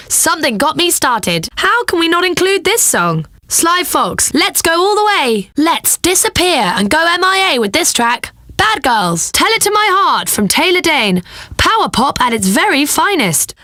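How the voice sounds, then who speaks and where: A woman's voice: high voice, pushed and bright, no echo, clean studio sound, heavily acted. A woman playing a flirty, upbeat pop radio DJ in a video game, with slick, playful, teasing song introductions.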